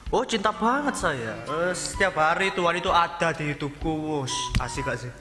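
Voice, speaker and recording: A man's voice: on the high side, expressive, moderate pace. A nineteen-year-old man, answering in a joking, hesitant, rambling way. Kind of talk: casual interview answers. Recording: echoey hall. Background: loud music.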